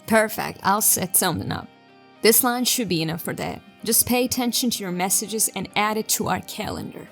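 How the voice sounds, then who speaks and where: A woman plays a resolute female detective in a science fiction audio drama. Her mid-pitched voice has plenty of vocal fry and a purposeful, businesslike delivery. She sounds slightly away from the mic, with music underneath. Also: moderate pace, distant mic, natural voice. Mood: businesslike, polite. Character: determined, businesslike female detective in a sci-fi story. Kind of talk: audio drama acting, dialogue and monologue.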